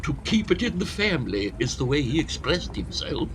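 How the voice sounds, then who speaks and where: Pompous professor, wildly swooping high voice. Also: male voice, sing-song, moderate pace, fairly bright tone, a little hiss or hum. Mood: defensive, lofty. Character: eccentric, self-justifying professor and antiquities collector, husband. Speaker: actor in an audio farce. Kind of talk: comic period radio-play acting.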